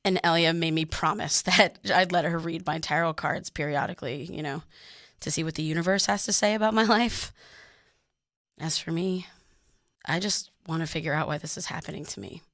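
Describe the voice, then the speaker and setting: A woman's voice: sits low, moderate pace, a bit bright, no echo, studio quality. A woman playing an exhausted, uneasy woman in her thirties narrating a confessional fiction podcast. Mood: weary, hesitant. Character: exhausted, uneasy young woman recording her dream diary.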